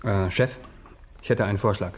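An actor playing a hesitant but eager junior detective in an old German radio drama, with a husky voice. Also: male voice, fairly low pitch, normal speed.

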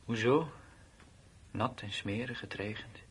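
An actor playing a blunt, uneasy soldier in a Dutch radio drama, with plain, colloquial, matter-of-fact delivery. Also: male voice, lowish voice, takes it slowly, husky, full character voice. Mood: flat, wary. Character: blunt, uneasy male soldier left behind at his post. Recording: noisy old radio play recording.

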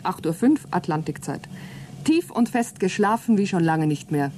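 A woman's voice: lowish voice, animated, moderate pace, mellow, a little hiss or hum, close to an everyday voice. A woman acting an earnest, hopeful astronaut in a German radio play, speaking in an articulate, reflective way. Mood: reflective, excited.

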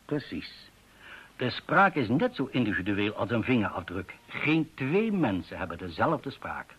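An actor playing a measured, learned male professor in a radio drama, speaking in a precise lecturing manner. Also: mid-range voice, sing-song, a bit bright, breathy edge, exaggerated voice. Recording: muffled old radio drama recording.

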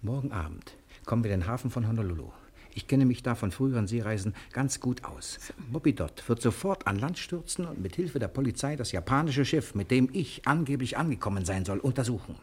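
A cocky adventurer soothing his wife in a vintage radio crime play. His voice is breathy and husky, with big melodic swings. He sounds coaxing and sure of himself. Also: mid-range voice, expressive, fairly quick, darkish tone. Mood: confident scheming. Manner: coaxing, self-assured. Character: cocky, soothing adventurer husband, a fugitive thief. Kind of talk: 1960 German radio crime drama acting. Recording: vintage 1960 radio drama recording.

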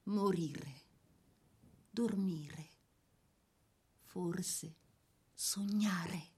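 A woman narrating a scripted literary radio drama in a soft, measured, theatrical way. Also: lowish voice, slightly rough.

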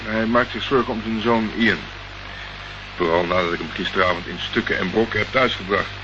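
Deep-voiced sardonic private eye played by a man. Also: fairly quick, lightly acted. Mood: matter-of-fact. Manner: sarcastic, deadpan. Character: dry, sardonic male private investigator, unruffled under questioning. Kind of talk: Dutch radio play acting. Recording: muffled, noisy old radio recording.

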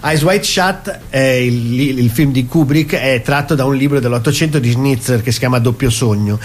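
A man's voice: medium pitch, normal speed. A man being interviewed as a cabaret actor, talking casually and at length, explaining and stacking up phrases. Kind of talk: casual interview talk about his stage show.

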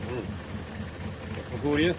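A man's voice: measured pace, natural voice. An actor playing a stern, officious policeman at a roadblock in a radio drama, curt and commanding. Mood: curt, impatient. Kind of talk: 1970s radio drama acting. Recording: muffled, noisy old radio recording, engine rumble. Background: idling vehicle engine.

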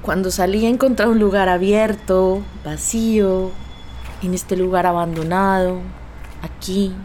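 A woman artist giving unhurried conversational testimony about her own experience. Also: medium pitch, steady tone, a little mellow, a little hiss or hum.

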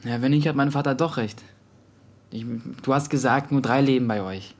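A male actor plays a teenage boy in a radio drama, medium-pitched and youthful. He is curious and a little stubborn, talking in a plain, thoughtful, dreamy way. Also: moderate pace, close to an everyday voice. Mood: curious, blunt. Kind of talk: naturalistic German radio drama acting.

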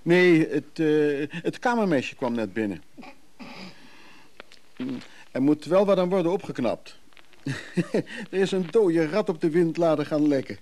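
An actor in a Dutch radio play voicing an evasive, soothing antiques buyer, speaking conversationally and a little hesitantly. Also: male voice, fairly high pitch, animated, a little slow, pauses often, a little mellow. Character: Freek, evasive, soothing middle-class antiques buyer and brother.

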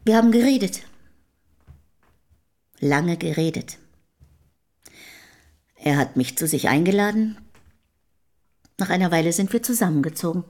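Tiefe, wortkarge, bodenständige Haushälterin. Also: female voice, lowish voice, lively intonation, measured pace, pauses often, darkish tone. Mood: plain, reserved. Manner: plain, terse, reserved. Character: guarded, down-to-earth middle-aged housekeeper-mistress, early 1800s. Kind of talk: German radio play acting.

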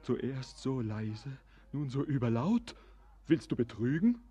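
An actor playing a melancholy, brooding sailor who declaims in a solemn, poetic, visionary way in a German radio drama. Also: male voice, medium pitch, lively intonation, slow, warm tone. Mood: bewildered. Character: melancholy, brooding male sailor, estranged from life, longing for earth.

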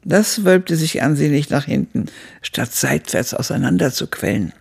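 An actress playing an elderly woman who looks back on her youth in a radio play, husky and gravelly, with a measured, reflective delivery. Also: deep voice, lively intonation, a little mellow, exaggerated voice. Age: older. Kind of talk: scripted radio drama narration.

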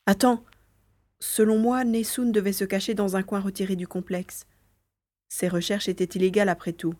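A woman playing a cautious, worried parent who guides a partner remotely in an audio drama, protective and gently wry. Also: mid-range voice, flat delivery, moderate pace, natural voice. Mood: anxious.

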